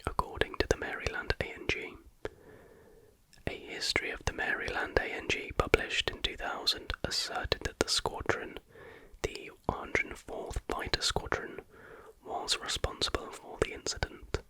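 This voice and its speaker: An ASMR host reading factual material aloud in a breathy, unvoiced whisper with a measured, hushed delivery.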